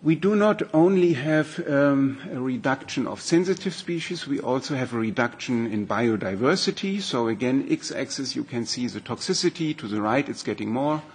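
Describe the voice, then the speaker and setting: A middle-aged man with a German accent giving a measured, explanatory presentation to a parliament hearing. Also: mid-range voice, lively intonation, slightly rough. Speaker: expert speaker. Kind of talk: formal presentation with slides.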